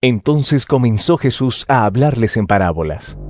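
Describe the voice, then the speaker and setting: A man's voice: medium pitch, lively intonation, normal speed, darkish tone, no room sound, studio quality. Male scripture narrator over music.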